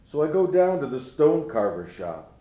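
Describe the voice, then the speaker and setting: A man's voice: fairly high pitch, sing-song, normal speed, highs cut off. An actor playing a grieving older grandfather who speaks in a folksy, rueful way in a dramatic monologue. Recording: roomy echo.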